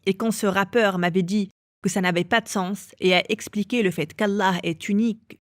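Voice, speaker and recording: A woman telling her own story as an interviewee, in a calm and measured way. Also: medium pitch, dry recording, clean studio sound. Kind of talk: personal testimony.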